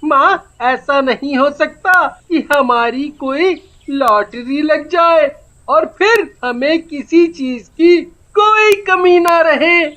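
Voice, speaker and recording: Little poor kid in a story, high bright voice, slow whiny pleading. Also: full character voice. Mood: hungry, plaintive. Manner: whiny, plaintive, drawn-out. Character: poor hungry wistful little boy.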